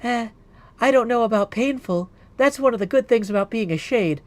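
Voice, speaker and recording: High voice, man acting as young female ghost, laid-back, cheeky, flippant, video game character. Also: moderate pace. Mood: blunt, dismissive. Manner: casual, flippant, exclamatory.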